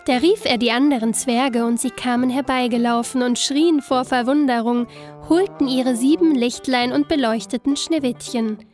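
A woman's voice: high-pitched, normal speed, darkish tone, soft background music. A woman narrating a scripted fairy tale expressively, with careful storytelling phrasing.

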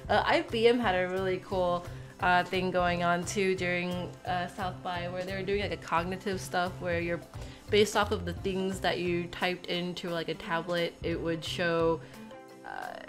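A woman's voice: fairly low pitch, over music. Stuffy-nosed young woman vlogging. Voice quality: nasal. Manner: rambling, halting with fillers. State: sick, stuffy nose. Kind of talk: casual unboxing chat. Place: small room indoors.

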